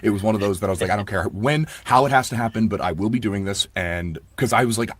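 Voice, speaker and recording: A man chatting casually as an interview guest, gushing with animated enthusiasm. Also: on the low side, quick pace, husky, dry recording. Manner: animated, gushing, quotes himself. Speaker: audiobook narrator, interview guest.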